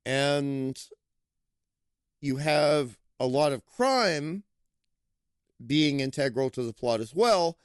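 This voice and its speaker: A man giving a casual, playful film review, with lively emphasis that he stretches out for effect. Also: medium pitch, clean tone, dry recording, clean studio sound. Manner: playful, animated, drawn-out emphasis. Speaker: movie reviewer.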